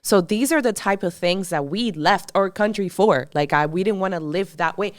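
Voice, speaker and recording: A woman's voice: medium pitch, moderate pace, no echo, clean studio sound. A woman in her thirties from Colombia, co-hosting a podcast in chatty, emphatic talk with many fillers. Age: 30s. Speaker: podcast co-host. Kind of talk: casual podcast chat.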